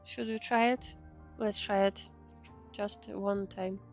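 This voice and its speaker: A woman doing casual live game commentary as a VTuber, murmuring to herself and exclaiming between pauses. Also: mid-range voice, fairly even intonation, highs cut off. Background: game music.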